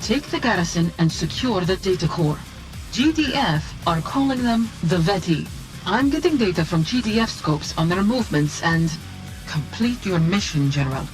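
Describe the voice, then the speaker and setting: An actress playing an authoritative, urgent military adviser giving video game mission briefings. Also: female voice, fairly low pitch, animated, normal speed, faint music behind, lightly acted.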